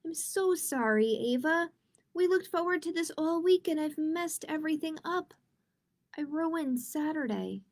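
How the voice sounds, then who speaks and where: A woman's voice: high voice, lively intonation, measured pace, pitched above the performer's own voice. A woman reading a storybook as a loving, easily discouraged mother, exclaiming and sighing apologetically. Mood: dismayed, defeated.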